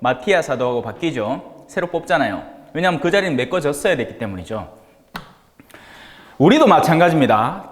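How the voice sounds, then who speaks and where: Animated Gyeongsang-accented priest in an echoey hall. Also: male voice, high voice. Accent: Gyeongsang Korean. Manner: jocular, colloquial, rhetorical questions to listeners. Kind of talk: informal religious lecture to a small group. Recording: echoey hall with long reverb.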